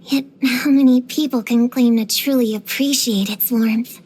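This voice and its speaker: A woman's voice: a little high, steady tone, a little slow, darkish tone, husky. A woman voicing an earnest, bookish young girl witch hunter in a video game, precocious, proud and slightly dramatic. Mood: solemn, theatrical. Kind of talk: scripted game voice lines.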